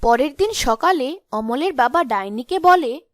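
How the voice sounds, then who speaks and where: High-voiced woman narrating a folk tale. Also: lively intonation, measured pace, dry recording. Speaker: storyteller. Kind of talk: scripted fairy tale narration.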